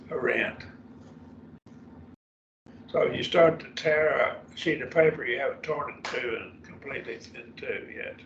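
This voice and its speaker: An older man with a raspy, gravelly voice teaches a Bible class in a plain-spoken way, often letting his sentences fade. His low voice comes from some distance in an echoey room. The recording sounds dull and muffled. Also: slight background noise. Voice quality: raspy after throat surgery. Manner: plain-spoken, explains word by word, often trails off softly. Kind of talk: Bible study class lecture. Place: church classroom.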